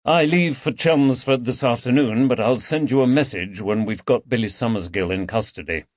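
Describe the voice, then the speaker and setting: A man voicing a composed, methodical police detective with a measured, authoritative manner in an audiobook. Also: medium pitch, no echo, muffled recording, natural voice. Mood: businesslike. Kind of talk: audiobook character dialogue.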